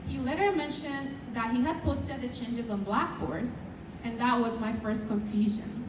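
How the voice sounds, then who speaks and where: Young Kenyan woman, student speaker, measured and earnest; distant mic in a noisy, echoey hall. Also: on the high side. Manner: measured, earnest, sometimes shy. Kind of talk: prepared speech at a dinner. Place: large event hall.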